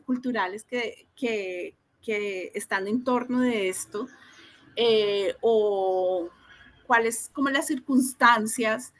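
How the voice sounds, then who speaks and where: A woman speaks in a fairly high voice, taking her time over each idea. She explains as a teacher trainer on a video call, thinking aloud and often checking in with her listeners. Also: fairly bright tone. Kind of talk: online teacher-training talk.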